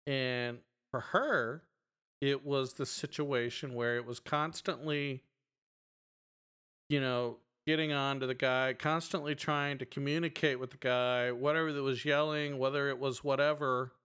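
A man's voice: medium pitch, unhurried, clean tone. A middle-aged man with a strong voice, co-hosting a podcast and chatting casually with lots of fillers. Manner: animated storytelling, lots of fillers. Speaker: podcast co-host. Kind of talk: casual chat.

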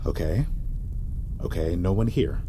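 A man playing a guilt-driven young man with time-stopping powers, narrating an audio drama in a hushed, introspective, tense way. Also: low-pitched, lively intonation, measured pace, noisy background. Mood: wary, wry. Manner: hushed, introspective, dropping into breathy whispers.

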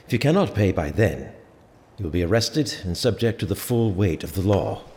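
An actor playing a firm, measured sheriff who speaks formally and with authority in a medieval audio drama. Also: male voice, low-pitched, lively intonation, darkish tone. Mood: stern, commanding. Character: commanding, measured sheriff with some compassion. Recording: long hall reverb, faint ambient noise.

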